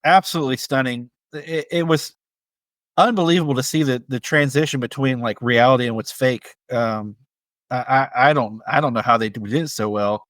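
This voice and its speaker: A man co-hosting a casual film discussion, speaking with measured, tentative phrasing. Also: medium pitch, a bit bright, no room sound. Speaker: podcast co-host.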